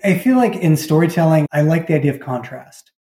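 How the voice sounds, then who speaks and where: A man, a writer, talking casually as an interview guest in halting, informal phrases. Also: a little high, expressive, dark tone. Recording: roomy, echoey sound.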